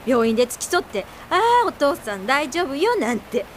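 An actress in a radio drama playing a sarcastic, resentful middle-aged daughter who speaks bluntly and combatively. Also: female voice, sits high, expressive, moderate pace, slight background noise. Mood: angry, defiant. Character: sharp-tongued, resentful grown daughter, a screenwriter. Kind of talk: Japanese radio drama acting.

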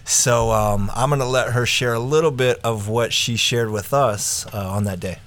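A man in his thirties co-hosting a podcast, talking in a relaxed, casual way with lots of fillers. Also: medium pitch, moderate pace. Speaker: podcast co-host. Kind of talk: casual conversational introduction.

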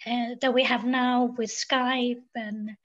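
Swedish-raised woman guest, high voice, reflective, lots of pauses, muffled lo-fi audio. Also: little pitch movement. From Sweden. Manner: thoughtful, many um fillers. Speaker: podcast guest. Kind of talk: reflective interview talk.

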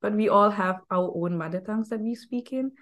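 A woman from Malaysia, speaking, chatting as a podcast guest in a lively, rambling way full of "like". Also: medium pitch, a little fast. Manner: chatty and animated, heavy use of "like". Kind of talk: casual conversational chat.

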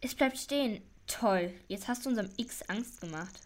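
A woman's voice: on the high side, moderate pace, lightly acted. A woman playing an eager, talkative child detective in a children's audio drama, reasoning aloud with emphasis. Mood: excited.

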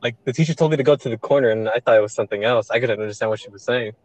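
A man's voice: mid-range voice, very fast, a little mellow. A teenage boy whose first language is Spanish, co-hosting a casual chat in an easygoing way and asking follow-up questions. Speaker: co-host.

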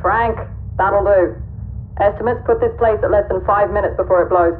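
A firm, controlled female squad leader in a sci-fi audio drama. Her medium-pitched voice comes through a thin, tinny radio filter with faint noise as she questions. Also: steady tone, normal speed. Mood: calming, firm.

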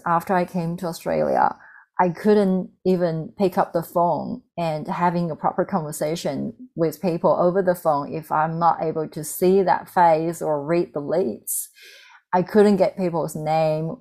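A woman's voice: low-pitched, fairly even intonation, measured pace, gravelly, darkish tone. A woman in her thirties from China, a native Mandarin speaker with a Mandarin Chinese accent, talking earnestly and candidly as an interview guest, with frequent ums and restarts. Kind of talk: reflective personal storytelling.

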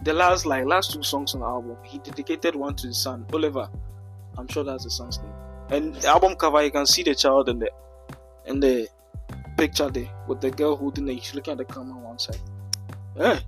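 A man's voice: medium pitch, fairly quick, fairly bright tone, faint music behind. A young man hosting a podcast in an easygoing way, using local slang. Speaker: podcast host. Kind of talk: casual chat.

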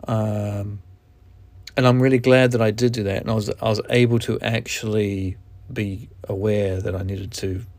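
A man with a New Zealand accent talks alone into the mic as a podcast host, low-pitched and gravelly. His delivery is reflective and halting, often stopping and restarting his sentences. The sound is dry and close, with no room around it. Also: fairly even intonation, a little mellow, no room sound. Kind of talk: candid solo podcast monologue.

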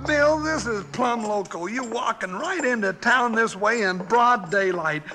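An actor playing an excitable, folksy American comic cowboy sidekick, eager to brawl, in a 1950s Western radio drama. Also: male voice, very high-pitched, unhurried, over music. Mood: agitated. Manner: folksy cowboy drawl talk. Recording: noisy vintage radio recording.

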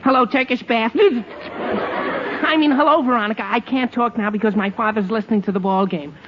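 An actor playing an excitable, oblivious teenage son with gee-whiz earnestness in a 1940s radio comedy. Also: male voice, extremely high pitch, moderate pace. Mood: eager. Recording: muffled, noisy 1940s radio recording.

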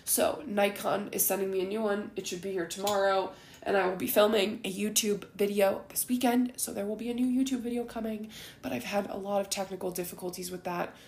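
Woman, 20s, podcast host: raspy, gravelly, rambling and candid, recorded in an echoey room. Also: medium pitch, steady tone, fairly quick, a little mellow, slight background noise. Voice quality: raspy and groggy. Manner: candid, rambling, with frequent ums and likes. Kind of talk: casual solo ramble.

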